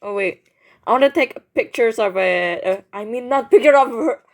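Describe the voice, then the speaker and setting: A woman's voice: on the high side, normal speed. A young woman giving casual gameplay commentary as a streamer, rambling and repeating herself playfully. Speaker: game streamer. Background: game music.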